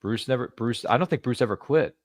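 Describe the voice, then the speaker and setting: A man's voice: medium pitch, animated, fast talker, no room sound, clean studio sound. A man hosting a podcast interview, chatting casually and rambling with playful asides. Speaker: podcast host.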